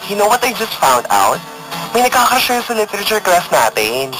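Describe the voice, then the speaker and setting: Animated, nosy Filipina college friend. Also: female voice, medium pitch, normal speed, poor audio. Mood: gossipy, excited. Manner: animated, prying, teasing. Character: nosy, excitable gossiping female college friend. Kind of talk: radio romance drama acting. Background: music.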